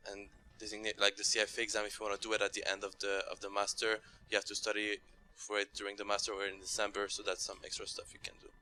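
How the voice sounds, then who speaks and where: A man's voice: lowish voice, completely flat delivery, quick pace, few pauses, sharp tone. A young man answering casually as a student panelist at a Q&A. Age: young adult. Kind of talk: casual explanation at a Q&A.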